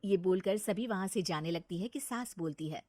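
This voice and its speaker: A woman's voice: lowish voice, normal speed, warm tone, no room sound. A woman narrating a scripted moral story as the storyteller.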